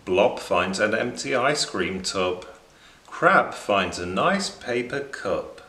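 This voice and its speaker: A man in his thirties reading a rhyming children's picture book aloud in an expressive, measured, dramatic way. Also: lowish voice. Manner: measured, dramatic delivery for young listeners. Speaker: children's storybook reader. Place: small indoor room beside bookshelves. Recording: roomy indoor echo.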